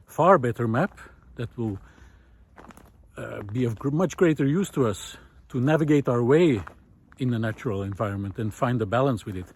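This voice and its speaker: A man talking reflectively while walking on a forest path outdoors, pausing often with fillers and lifting his voice on key points. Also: mid-range voice, animated, a little mellow, a little hiss or hum. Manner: thoughtful, many pauses and fillers, rising emphasis on key points. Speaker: nature video essayist.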